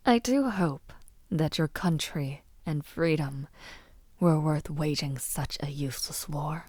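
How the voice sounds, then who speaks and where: Woman voicing a young villainess princess, audio roleplay, condescending, coolly cruel, unhurried teasing drawl, breathy murmurs, very dry recording. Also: deep voice, darkish tone, dry recording. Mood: scornful. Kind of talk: audio roleplay acting, addressing the listener.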